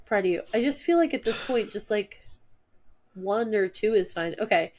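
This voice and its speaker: A woman's voice: mid-range voice, lively intonation, moderate pace, a little mellow. Stuffy-voiced woman co-host, wry and dry, reading a list aloud in casual chat. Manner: wry, reads names and facts off a post. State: stuffed up from allergies. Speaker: podcast co-host.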